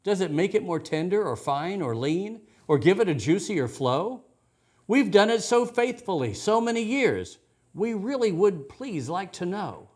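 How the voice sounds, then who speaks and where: A man's voice: sits high, sing-song, measured pace, exaggerated voice. Man reading as humble, pleading townswomen. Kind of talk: rhyming children's storybook.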